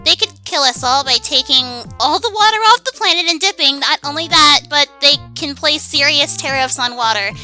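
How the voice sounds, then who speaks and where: A woman's voice: high voice, brisk, hardly any pauses, pushed and bright, soft background music. A woman chatting casually as a co-host. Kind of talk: casual chat.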